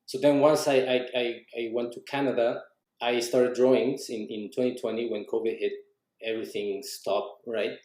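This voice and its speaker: A man's voice: medium pitch, level tone, moderate pace, darkish tone, roomy sound, rough recording. A young adult man from Mexico with a Mexican accent, a native Spanish speaker, chatting loosely as a podcast guest with many restarts. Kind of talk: casual interview conversation.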